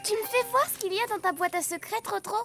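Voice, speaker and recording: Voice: very high-pitched, fast. An actor voicing a sweet, playful little girl donkey in a preschool cartoon, with a childlike, singsong way of talking. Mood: playful, wanting. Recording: music behind the voice.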